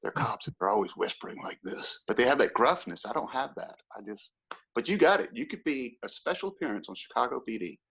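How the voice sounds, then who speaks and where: A man with a Southern American accent hosts a relaxed conversation in a voice of ordinary middle pitch. He talks in an easygoing, chatty way and openly shows his admiration for his guest. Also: brisk, creaky, husky. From Georgia, USA. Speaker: host. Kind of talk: casual interview.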